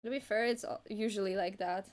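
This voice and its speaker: A woman's voice: medium pitch, fairly even intonation, brisk. A young woman whose first language is Polish, chatting casually on an art livestream while she draws, wandering and hesitant with lots of restarts and fillers. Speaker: art streamer.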